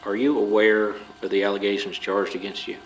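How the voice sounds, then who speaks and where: Stern, low-voiced police interrogator, played by a man, noisy recording. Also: flat delivery, brisk, close to an everyday voice. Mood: stern, accusing. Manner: formal, procedural questioning. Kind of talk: audio drama acting. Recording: clearly noisy background.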